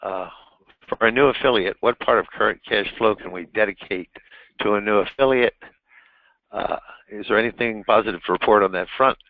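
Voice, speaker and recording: A man moderating an investor call over the telephone, reading out questions in a halting, fumbling way. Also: lowish voice, no echo. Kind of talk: unscripted question-and-answer on an earnings call. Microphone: telephone on a conference call. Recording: narrow tinny phone line.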